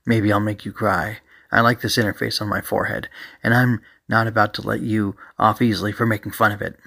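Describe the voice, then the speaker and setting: A man's voice: fairly low pitch, level tone, fairly quick, creaky, bright, forceful tone, full character voice. A man voicing a proud, grumbling, defiant monster beast in an audiobook.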